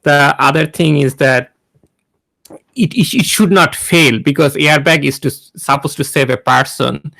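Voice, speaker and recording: Guest speaker, thirties, Bangladeshi accent, halting and gravelly, dry video-call sound. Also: male voice, on the high side, bright, forceful tone, no room sound. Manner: hesitant, many fillers and restarts. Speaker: guest speaker, NASA engineer. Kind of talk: informal talk to students on a video call.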